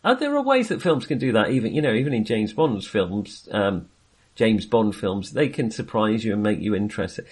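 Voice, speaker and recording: A man's voice: on the low side, big pitch swings, normal speed, a little mellow, husky. A man co-hosting a film podcast, chatting casually in a hesitant, wandering way with self-amused asides.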